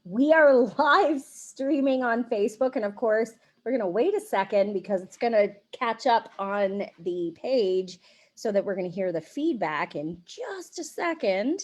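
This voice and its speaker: A woman in her forties hosting a live stream interview over a video call, chatty and animated, laughing often. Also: a little high, unhurried. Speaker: host. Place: home room.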